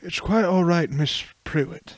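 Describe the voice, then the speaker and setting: A man voicing a kindly elderly wizard headmaster, calm, polite and genial, in a story reading. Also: high voice, slow, dry recording, voice pushed up. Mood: courteous.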